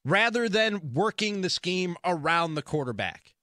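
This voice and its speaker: A male sports radio host with a high, forceful voice. He argues his opinions insistently and repeats phrases to drive them home. The sound is close and dry, as in a broadcast studio. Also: expressive, a little slow, no room sound. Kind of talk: opinionated sports talk monologue. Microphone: close broadcast studio mic.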